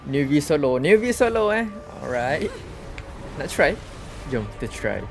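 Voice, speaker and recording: A man's voice: fairly high pitch, very animated, normal speed. A Malaysian-accented man, a native Malay speaker, giving casual, slangy, reactive live commentary as a game streamer. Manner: slangy, reactive, mixes two languages. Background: game music.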